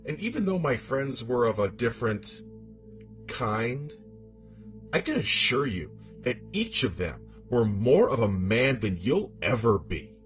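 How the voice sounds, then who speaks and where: Male actor as a scorned demoness ex-wife: proud, venomous, slow and wildly expressive, horror audio story over music. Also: medium pitch. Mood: scornful. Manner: haughty, bitter, theatrical.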